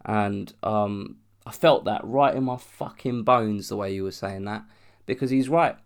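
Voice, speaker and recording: A man's voice: lowish voice, unhurried, clean tone. Rambling young man with a cold. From London. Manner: rambling, restarts often, swears casually. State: has a cold and sore throat. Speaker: podcast host. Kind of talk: casual solo podcast monologue.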